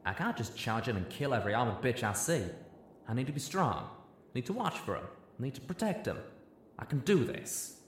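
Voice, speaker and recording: Pony stallion with a folksy drawl, high and emotional, a male narrator putting him on; lively swinging pitch. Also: moderate pace, a little hiss or hum. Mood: anguished. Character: young male pony, rough-spoken, guilt-torn and earnest.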